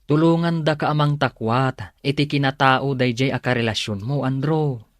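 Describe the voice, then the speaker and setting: A man's voice: mid-range voice, moderate pace, no echo, clean studio sound, lightly acted. A man with an Ilocano accent acting as a sly friend who investigates for his pal in a radio drama. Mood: urging. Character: Magno, sly friend investigating a suspected cheater.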